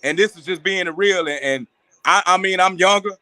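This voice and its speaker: A man's voice: high-pitched, normal speed, pushed and bright, no room sound. A man co-hosting a sports talk show, speaking earnestly about growing up in the country and stumbling over his opening words. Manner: earnest, repeats words while starting sentences. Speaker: podcast co-host. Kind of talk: casual debate.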